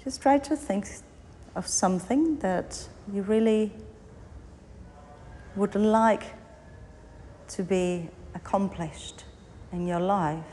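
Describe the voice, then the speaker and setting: A woman teaching yoga nidra, guiding a meditation in short phrases with pauses between them. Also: medium pitch, dark tone. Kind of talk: guided meditation, body scan narration. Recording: long hall reverb, faint background noise.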